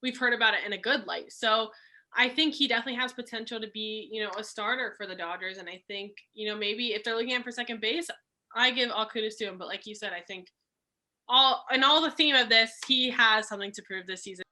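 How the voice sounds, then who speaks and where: A young woman co-hosting a casual sports podcast, slightly raspy from a cold, conversational and asking her guests questions. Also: a little high, fast. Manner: conversational, asks questions, trails off quietly. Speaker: podcast co-host.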